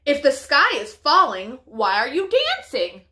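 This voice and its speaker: A woman's voice: sits high, wildly swooping pitch, measured pace, bright, forceful tone, exaggerated voice. A woman voicing a sly, scornful male fox in a storybook, easily annoyed and scheming. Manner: scornful, persuasive.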